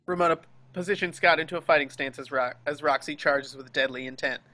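A man's voice: fairly high pitch, fast, sharp tone. A man reading stage directions as scripted narration for a dramatic table read over a video call.

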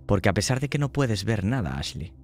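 A man narrating a horror tabletop game as game master, slow and hushed, voicing small sound effects. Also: lowish voice, sing-song.

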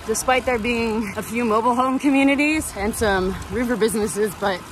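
A woman's voice: fairly high pitch, moderate pace, a little hiss or hum. A woman in her thirties narrating a casual cycling vlog outdoors, upbeat and chatty, recorded on an action camera. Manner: upbeat, chatty, tour-guide commentary. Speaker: vlogger. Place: outdoors on a mountain road, riding a bike. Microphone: action camera on the bike.

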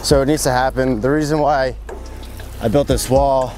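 A man in his thirties, a pond builder, casually walking through a backyard project outdoors, relaxed and full of fillers. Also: medium pitch, moderate pace, a little hiss or hum. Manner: relaxed, filler-heavy, enthusiastic. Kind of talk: casual on-site walkthrough. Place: outdoor backyard construction site.

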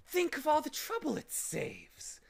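A man's voice: mid-range voice, big pitch swings, slow, pitched above the performer's own voice. A man voicing a sour, gloating demon of petty tasks from a storybook, theatrical and menacing with false sweetness. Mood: sly coaxing. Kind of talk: storybook character voice.

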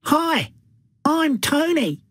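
A friendly schoolboy for a textbook recording, high and sing-song in pitch. He speaks slowly and carefully, and the sound is dry. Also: sing-song, no room sound, heavily acted. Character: friendly young schoolboy from Australia.

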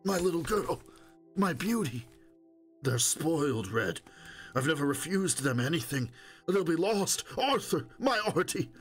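A man voicing a wounded, wheedling old stalker in an audiobook, boastful and desperate, with a hoarse, strained, pleading voice. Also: on the high side, very animated, a little slow, faint music behind, pitched above the performer's own voice. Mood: anguished, stubborn. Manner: pleading, moaning, insistent.

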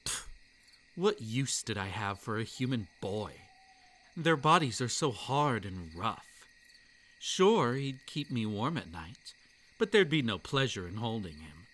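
A dark kitsune monster boy, acted by a man, tells his story slowly in an ASMR roleplay. He is obsessive and gloating, with intimate, hushed menace, over faint night insects. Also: mid-range voice, sing-song, a little hiss or hum. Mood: smug, gloating. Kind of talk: roleplay ASMR dramatic monologue. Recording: night insect ambience behind the voice. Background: crickets and night insects.

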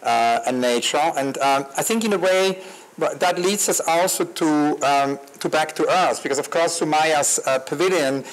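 A man curator speaking on a panel, quick and associative with fillers, on a handheld mic at an outdoor garden stage. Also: fairly high pitch, low-quality recording. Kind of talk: panel discussion.

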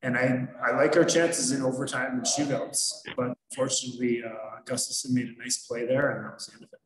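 Middle-aged male coach, steady mid pitch, methodical postgame answers, echoey video-call sound. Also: background noise. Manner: measured, analytical, frequent fillers. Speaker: hockey head coach. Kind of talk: postgame press conference answers. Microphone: video call webcam. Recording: roomy echo.

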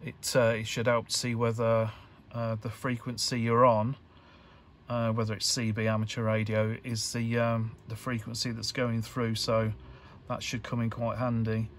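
A man giving an informal hands-on tutorial, talking in a halting, hesitant way as he thinks aloud. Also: medium pitch, monotone, a little hiss or hum. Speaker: electronics hobbyist presenter.

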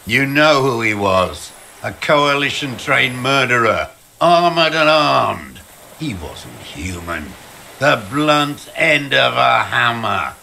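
Gravelly, bitter old deserter. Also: male voice, mid-range voice, very animated, bright, forceful tone, a little hiss or hum, full character voice. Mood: seething, accusing. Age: older. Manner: slow, bitter, scornful muttering. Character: bitter old communist deserter, gruff and contemptuous. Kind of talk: dramatic video game voice acting. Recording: music under the voice. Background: background music.

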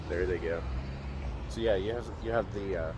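A man's voice: low voice, a little slow. A man vlogging as he walks a city street, narrating a casual tour in an easygoing, rambling way with lots of fillers. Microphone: handheld camera while walking. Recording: outdoor street noise and traffic. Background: street traffic.

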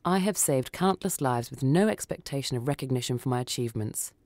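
A woman reading a short story for radio in a theatrical, deliberate, dramatic narrating style. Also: rumbling low, animated, a little mellow, dry recording.

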